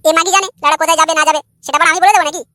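A man's voice: very high voice, steady tone, racing, bright, forceful tone, no echo, studio quality. A man voicing a jealous, sneering husband in a comic cartoon, foul-mouthed and sarcastic. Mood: sneering, accusing. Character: jealous, crude, sneering adult son and husband.

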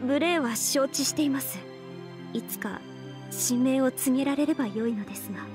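Woman acting high-voiced, courteous oni warrior maiden. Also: moderate pace, natural voice. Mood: apologetic, earnest. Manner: formal, humble, courteous speech. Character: polite, loyal, secretive young female oni warrior. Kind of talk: Japanese mobile game character voice acting. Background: game background music.

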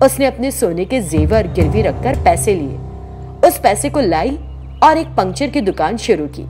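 A woman reading a scripted story as narrator. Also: fairly high pitch.

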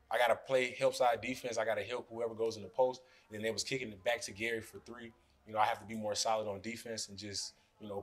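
A young man in his twenties, a basketball player answering questions at a postgame press conference, speaking loosely with many "you know"s into podium mics. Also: lowish voice. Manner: relaxed, fills with "you know" often. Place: press room in front of a sponsor backdrop. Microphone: podium mics in front of him.

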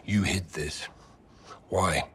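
An actor playing a grim British special forces captain in a video game, with a blunt, confrontational manner and a British accent. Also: male voice, low voice, steady tone, drawn-out words, a bit bright, husky, full character voice. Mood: angry, sardonic. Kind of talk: video game cutscene acting.

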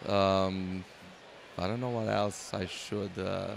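Slow, low-voiced Romanian man, hesitant. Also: fairly even intonation, creaky, a little hiss or hum. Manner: hesitant, many fillers and restarts. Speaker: LEGO builder and exhibitor. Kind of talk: casual interview. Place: large convention hall.

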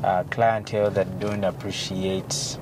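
Ugandan guy in his 40s talking law stuff from the passenger seat, lowish voice, loud road rumble. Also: flat delivery. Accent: Ugandan English. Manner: measured, explanatory, many "uh" fillers. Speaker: law firm partner, guest. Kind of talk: casual interview in a moving car. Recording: loud car road noise. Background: engine and road rumble.

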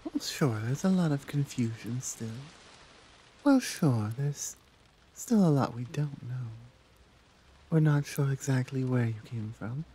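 A woman playing a caring, earnest tigress monk in a soft-spoken ASMR roleplay, with a breathy, measured, confiding delivery. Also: very deep voice, lively intonation, exaggerated voice. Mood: confiding, thoughtful.

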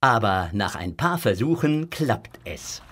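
Expressive male storyteller, lively pitch. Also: slow, noisy background. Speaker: narrator. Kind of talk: dramatic scripted narration for a children's audio story.

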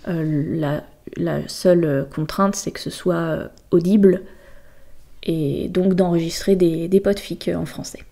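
A woman guest explaining things casually in an interview, with hesitant, halting phrasing. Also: fairly low pitch, steady tone, creaky, warm tone. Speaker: guest presenting a volunteer project.

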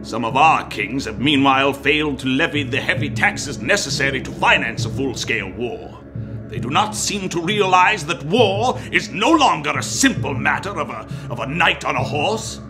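Man acting zealous Templar commander, expansive lecturer, animated higher voice, historical audio drama, strong music behind. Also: normal speed, close to an everyday voice. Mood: grave, lecturing. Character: pompous, zealous Templar marshal, battle-hungry commander.

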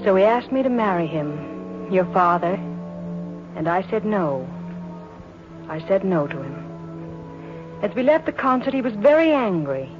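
An actress playing an earnest mother remembering a troubled love, in intimate, halting 1940s radio drama style. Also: mid-range voice, very animated. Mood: regretful. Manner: wistful, halting, emotional delivery with dramatic repetition. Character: earnest mother recalling her troubled love for a hard man. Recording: dull 1940s radio recording, music behind.

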